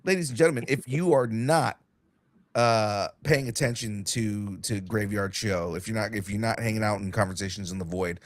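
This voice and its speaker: A young man hosting a casual podcast, excitable and swearing freely, with a light cold and a slight cough. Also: fairly low pitch, normal speed, dry recording. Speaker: podcast host.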